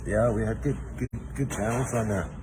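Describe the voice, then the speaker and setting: A man's voice: on the low side, fairly quick, background noise. A man from northern British Columbia with a Canadian accent, chatting casually and reminiscing in a rambling way, often ending questions with "eh". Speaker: informal interviewer.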